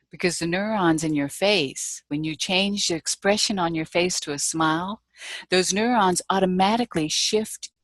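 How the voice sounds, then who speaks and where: A woman's voice: on the low side, no echo. A woman sharing her thoughts in a rambling, reflective way on a group video call.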